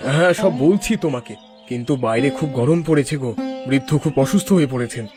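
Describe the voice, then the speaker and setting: A man's voice: mid-range voice, quick pace, over music, lightly acted. Man as a solicitous village husband. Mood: concerned. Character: helpful, hospitable village husband. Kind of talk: dramatized Bengali moral-story cartoon dub.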